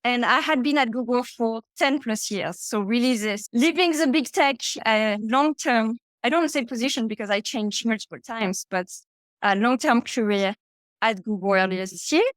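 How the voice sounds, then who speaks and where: A woman's voice: a little high, normal speed, no room sound. A woman in her thirties with a French accent, a podcast guest telling her story in an open, chatty way. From France. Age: 30s. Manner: chatty and open, with frequent fillers and asides. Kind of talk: casual conversational storytelling.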